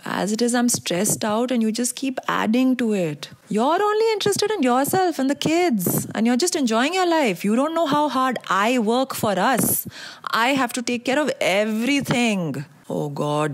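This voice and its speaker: A woman's voice: mid-range voice, no room sound. Woman acting a controlling Indian husband, close dry sound. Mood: self-pitying, blaming, then contemptuous, threatening. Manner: accusing, demanding, addressing an unheard wife. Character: controlling, belittling, manipulative husband, jealous and volatile. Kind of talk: dramatic monologue.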